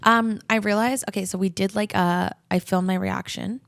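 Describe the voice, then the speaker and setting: A woman's voice: mid-range voice, fairly even intonation, normal speed, vocal fry, crowd noise. A woman co-hosting a podcast, chatting casually in an emphatic, opinionated and slangy way. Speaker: podcast host.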